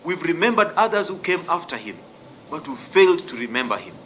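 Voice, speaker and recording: A middle-aged man speaks in an aggrieved, emphatic way into a desk gooseneck mic. His voice is bright and slightly high for a man, with faint noise behind him. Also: sing-song, a little fast. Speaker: member of parliament. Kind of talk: press statement. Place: committee room.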